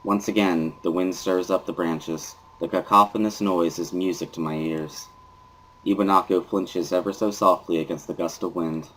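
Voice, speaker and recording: A man's voice: low voice, steady tone, normal speed, fairly bright tone, poor audio. A man reading a story aloud as a dramatic first-person narrator. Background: guitar music.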